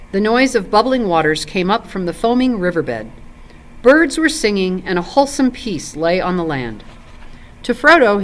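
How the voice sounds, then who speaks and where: A woman with a mid-pitched voice reads aloud as a volunteer storyteller. Her intonation moves widely and lively, with expressive phrasing suited to a family story hour. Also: low-quality recording. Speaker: volunteer story reader and ESL teacher.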